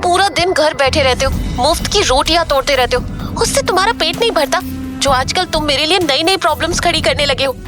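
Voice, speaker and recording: A woman playing an exasperated working wife in an audio drama, high-voiced and scolding. She is sharp and sarcastic, with music clearly playing behind her. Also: fairly quick, close to an everyday voice. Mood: angry, scolding.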